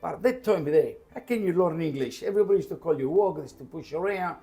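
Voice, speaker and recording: An older man from Calabria with a strong Italian accent, chatting while cooking, halting and repeating words, slipping into Italian. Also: on the high side, lively intonation, warm tone, husky. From Calabria, Italy. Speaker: home cook. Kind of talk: casual chat while cooking.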